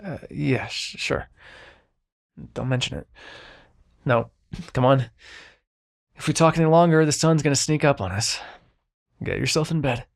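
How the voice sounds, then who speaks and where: A man playing a grumpy but reluctantly kind immortal dream-bringer in an ASMR roleplay, brusque and halting. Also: medium pitch, creaky. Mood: brusque, caring. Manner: brusque, exasperated, halting, softening into concern.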